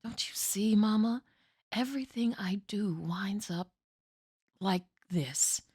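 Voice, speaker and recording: Actress as a resigned grown daughter, slow, low-voiced, dry close sound, quiet and pleading. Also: female voice, dry recording. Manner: quiet, pleading, at times whispered. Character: despairing adult daughter, calm and resolved.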